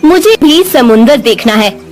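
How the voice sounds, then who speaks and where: Woman acting an earnest, animated storybook queen. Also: on the high side, unhurried, faint music behind. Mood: insistent. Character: queens of a fairy tale, polite, earnest. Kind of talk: Hindi fairy tale cartoon dubbing.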